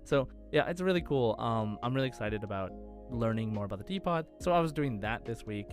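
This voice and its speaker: A man's voice: medium pitch, animated, a little fast, music in the background. A man co-hosting a gaming podcast in casual, enthusiastic, rambling chat. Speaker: podcast co-host and game streamer.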